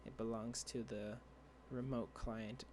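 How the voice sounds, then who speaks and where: A young man explaining a coding tutorial over a screen share, rambling and halting with many fillers and restarts, his voice dry. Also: on the low side, little pitch movement, darkish tone, a little hiss or hum. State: dry voice, clearing throat. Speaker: tutorial creator.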